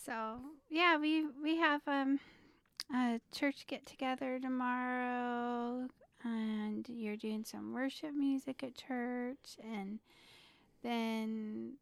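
A woman in her forties with a raised pitch for her sex, her voice gravelly with fry yet smooth and resonant underneath. She chats at home in a teasing, meandering way, recorded close and dry. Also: fairly even intonation, takes it slowly, dry recording. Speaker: podcast co-host. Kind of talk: casual chat with spouse.

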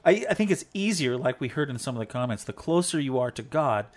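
A man's voice: medium pitch, animated, fast. A man chatting with his wife on a faith talk show, playful and rambling as he counts off points like a teacher. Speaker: co-host, devotional talk.

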